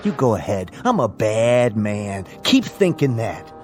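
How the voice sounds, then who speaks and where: A belligerent drunk man in a radio drama, slurring out bitterness at a slow pace. He is sarcastic and reckless, with music playing behind him. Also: lowish voice, very animated. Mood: raging, goading.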